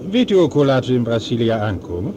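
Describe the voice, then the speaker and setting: A man's voice: sing-song, fast talker, close to an everyday voice. A man playing a reserved professor in a radio play, courteous in a dry way. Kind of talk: Dutch radio play acting. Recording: radio drama with loud cabin rumble.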